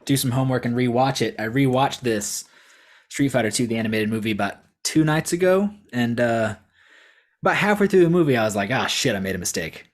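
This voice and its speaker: Guy debating on a youtube show, mid pitch, jokey and sweary, just bantering. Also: lively intonation, brisk. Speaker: debate contestant, film YouTuber. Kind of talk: casual banter.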